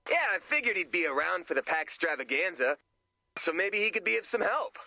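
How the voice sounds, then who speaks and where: A man voicing an excitable, earnest and nervous sidekick in a video game, with a goofy manner. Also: sits high, brisk, gravelly, dry recording, exaggerated voice. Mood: eager, chatty.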